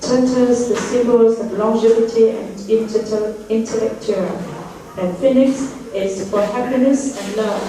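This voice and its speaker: A woman making scripted announcements to introduce acts at a stage show. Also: medium pitch. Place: echoey theatre, heard through the audience's distant camera. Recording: very echoey hall, captured from far off.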